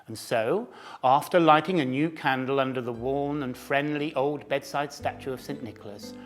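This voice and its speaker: A man narrating a storybook tale expressively, dropping at times into hushed whispers. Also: mid-range voice, normal speed, soft background music.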